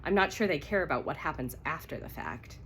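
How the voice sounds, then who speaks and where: A woman's voice: low-pitched, expressive, a little fast, slight background noise. A woman with a cold voicing an anxious, stubborn jilted bride in a romance novel reading. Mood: frustrated. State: congested with a cold.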